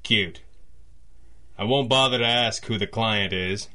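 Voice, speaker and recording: An actor playing a gruff, cynical police lieutenant, clipped and dismissive in radio drama style. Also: male voice, lowish voice, unhurried, bright, forceful tone. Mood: gruff, warning. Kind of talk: old-time radio cop drama acting.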